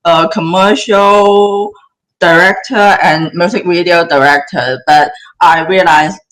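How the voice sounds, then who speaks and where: A woman's voice: on the low side, fairly even intonation, fairly bright tone, poor audio. A woman in her thirties from southern China, speaking in an interview, often restarting her sentences. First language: Cantonese. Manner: halting, many restarts. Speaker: guest filmmaker. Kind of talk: casual interview talk.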